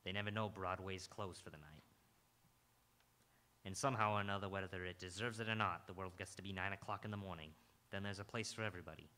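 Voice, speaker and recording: Male actor as a brooding police detective, very low pitch, forceful, terse, live radio noir. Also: fairly even intonation, fast. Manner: terse, brooding, clipped questioning. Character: hard-boiled world-weary male police detective, narrating moodily. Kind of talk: live radio noir drama acting and narration.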